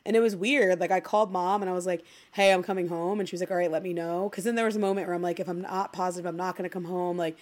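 A woman co-hosting a casual podcast chat, her voice sitting a little low. She rambles freely, peppering her talk with "like", and sounds slightly congested. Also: fairly even intonation, very fast, hardly any pauses, darkish tone. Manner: chatty, full of "like" fillers. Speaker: podcast co-host.

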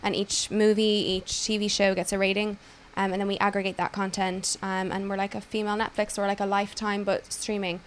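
A woman's voice: mid-range voice, level tone, a little fast, hardly any pauses, slight background noise. An Irish woman, a podcast guest who founded a tech company, chatting upbeat and explaining her work with frequent ums. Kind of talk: casual interview chat.

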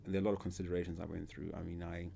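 A man's voice: low voice, little pitch movement, rapid-fire, creaky, mellow, slight background noise. A man in his thirties from Zimbabwe, a podcast guest who talks hesitantly with many fillers and restarts. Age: 30s. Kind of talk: casual interview.

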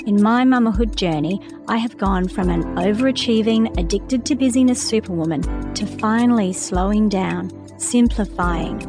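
A woman host delivers a polished, measured scripted introduction. Music plays clearly behind her voice, at a middle pitch. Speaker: podcast host.

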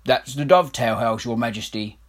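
A man's voice: medium pitch, a little fast, lightly acted. A man voicing a nervous, loyal army major who speaks hesitantly and deferentially.

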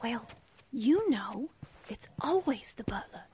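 A woman playing a cheeky young reporter's assistant with a breathy, whispery voice in a 1940s-style radio drama. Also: a little high, very animated, slow pace, darkish tone, a little hiss or hum.

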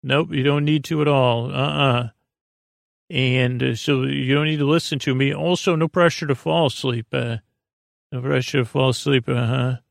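A man hosting a bedtime podcast in a gravelly voice, rambling hesitantly and repetitively in a monologue meant to lull listeners to sleep. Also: medium pitch, steady tone, normal speed, no room sound, clean studio sound. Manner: meandering, hesitant, repetitive, with murmured asides. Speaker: podcast host.

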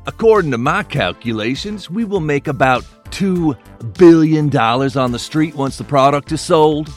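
An actor playing a calculating, cautious gang lieutenant in a crime audio drama, speaking precisely. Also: male voice, a little high, expressive, normal speed, faint music behind, close to an everyday voice.

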